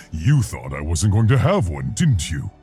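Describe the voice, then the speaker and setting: A man playing a brainy, gentle gorilla scientist with a gruff voice and a friendly, earnest manner in a video game. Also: low-pitched, sing-song, takes it slowly, heavily acted. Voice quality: gruff, gravelly. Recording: music behind the voice.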